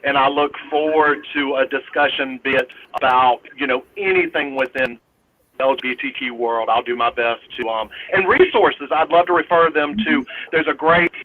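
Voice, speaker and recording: A man speaking as a panel guest, earnest and pleading, with hesitant pauses and fillers. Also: medium pitch. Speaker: panel guest, council member. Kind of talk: heartfelt closing remarks. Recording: dull lo-fi sound with faint hiss.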